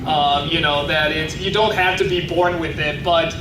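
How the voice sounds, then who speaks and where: High-voiced man rambling at a panel. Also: steady tone, normal speed, distant mic. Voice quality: high-pitched voice. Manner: casual, chatty, lots of fillers and restarts. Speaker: panelist answering audience questions. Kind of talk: convention panel Q&A. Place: convention panel room. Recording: echoey convention hall from afar, noisy.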